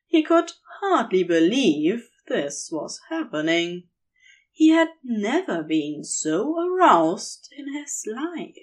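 A woman's voice: a little high, sing-song, slow. A woman narrating scripted romantic fan fiction in an intimate storytelling style.

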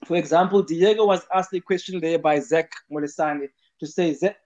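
A man's voice: a little high, a little fast, darkish tone. A man speaking in a live audio chat room, earnestly explaining a point and repeating words as he builds his argument. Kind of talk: casual spoken argument. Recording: dull lo-fi online voice chat.

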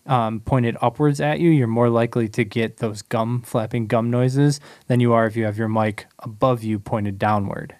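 A man's voice: mid-range voice, fairly even intonation, normal speed, hardly any pauses, a little mellow, no room sound, clean studio sound. A man co-hosting a podcast in easygoing, conversational chat, laughing often and leading the talk with questions. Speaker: podcast co-host. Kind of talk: casual chat.

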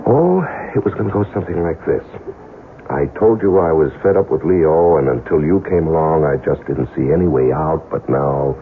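An actor playing a worldly, smooth-talking businessman in a 1970s radio drama, wry, measured and confiding. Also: extremely low pitch. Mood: teasing, intimate. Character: smooth-talking, worldly male market analyst from Chicago. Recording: lo-fi 1970s radio broadcast, slight noise.

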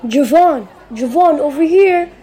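A young woman acting as a loyal teenage best friend in a student audio drama. The voice is high and exasperated, with faint crowd sound behind it. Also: expressive, measured pace, warm tone, low-quality recording. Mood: eager, exasperated.

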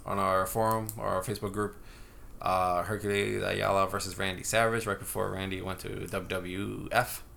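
Low gravelly man hosting a casual podcast. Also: normal speed. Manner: relaxed, steers the talk with prompts and fillers. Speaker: podcast host. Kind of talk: casual wrestling chat. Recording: faint background noise.

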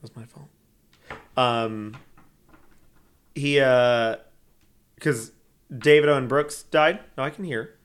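A man's voice: mid-range voice, slow pace, pauses often, clear, resonant tone. A man in his thirties co-hosting a podcast in casual, playful banter, often laughing. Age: 30s. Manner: playful, giggly, breaks into loud laughs. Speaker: podcast co-host.

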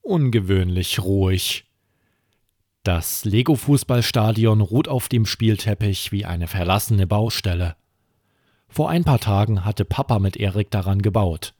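Slow, low-voiced man reading bedtime stories. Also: animated, darkish tone, dry recording, clean studio sound. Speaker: podcast host and storyteller. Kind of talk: scripted bedtime story narration for children.

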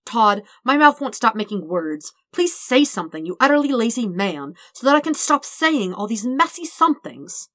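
A woman's voice: fairly high pitch, big pitch swings, normal speed, hardly any pauses, fairly bright tone, dry recording, pitched above the performer's own voice. A woman voicing a flustered, dramatic, lovestruck young man in a story, rambling in wordy formal phrases. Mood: nervous rambling.

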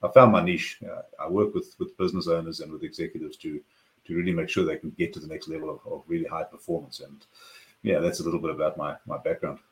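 A man in his forties with a South African accent, a podcast guest explaining his business background in a measured way. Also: rumbling low, flat delivery, poor audio. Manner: measured, explanatory, sprinkled with "you know". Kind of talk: podcast interview.

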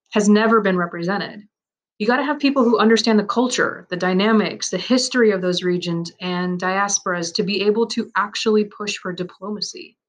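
A woman's voice: mid-range voice, fairly bright tone. Woman reading a first-person account aloud. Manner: measured, reflective, earnest. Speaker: narrator reading a man's first-person story.

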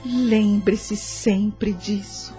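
A Brazilian actress playing a gentle, wise spiritual guide in a radio soap, with a measured, soothing and solemn manner. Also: female voice, mid-range voice, steady tone, soft background music. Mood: solemn, serene.

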